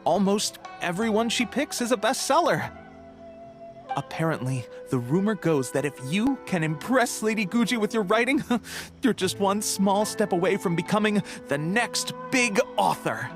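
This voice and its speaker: Male shop clerk for an anime game: high, animated, helpful yet cautious, hesitant phrasing, music underneath. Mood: friendly, gossipy. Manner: hesitant, conversational, deferential, many hums and pauses. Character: chatty, polite male bookshop clerk, helpful but cautious. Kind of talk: English anime game voice acting.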